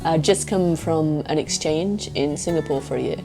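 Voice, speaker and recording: A woman's voice: low voice, moderate pace, music in the background, rough recording. A woman answering interview questions as a teacher abroad, speaking thoughtfully with hesitant phrasing.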